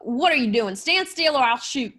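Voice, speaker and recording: A woman playing a gruff, suspicious, excitable country sheriff in an old-style radio play. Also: high voice, normal speed. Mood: disbelieving. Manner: brusque, disbelieving.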